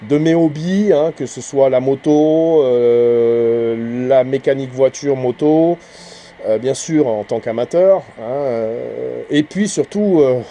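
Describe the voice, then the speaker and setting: Mellow-voiced man rambling casually. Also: mid-range voice, slow. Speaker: amateur CB radio hobbyist and channel host. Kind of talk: casual rambling vlog commentary.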